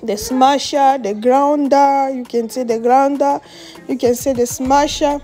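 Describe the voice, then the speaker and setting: A woman's voice: high-pitched, fairly even intonation, measured pace, mellow, soft background music. A woman who speaks English as her second language, chatting casually through a home cooking vlog, laughing often and searching for words. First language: French or Swahili. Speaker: cooking vlogger. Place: outdoors on a patio and in a home kitchen.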